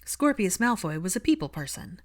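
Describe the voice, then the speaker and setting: A woman's voice: medium pitch, very animated, a little fast. A woman reading fiction aloud as an audiobook narrator, in a scripted storytelling style.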